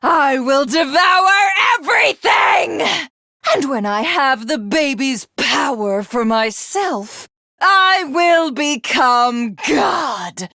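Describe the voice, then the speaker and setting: A woman's voice: high-pitched, animated, slow, gravelly, pushed and bright, no room sound, shouting, clean studio sound, full character voice. A woman playing a cruel, megalomaniac villainess in a video game, grandiose and theatrical, with a sadistic streak and a hunger to be God. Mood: manic, ravenous.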